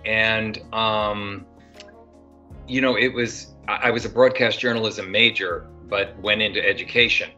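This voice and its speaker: Older man, bright voice, rambling. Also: lowish voice, fairly even intonation, measured pace. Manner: rambling, many fillers and restarts. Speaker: actor guest. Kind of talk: casual interview. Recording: dull lo-fi sound with faint music.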